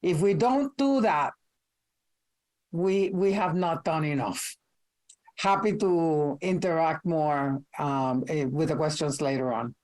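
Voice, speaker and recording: An older woman with a Puerto Rican Spanish accent, a native Spanish speaker speaking as an ambassador on a panel. She speaks in a measured, deliberate way with thoughtful pauses, over a video call. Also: low voice, a little mellow, rough recording. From Puerto Rico. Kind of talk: formal panel remarks.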